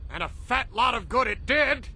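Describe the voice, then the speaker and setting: A man's voice: extremely high pitch, expressive, moderate pace, sharp tone, slight background noise, full character voice. A man playing a cranky, haughty alien elder in a cartoon video game, speaking in a stern, scolding, formal way. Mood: outraged.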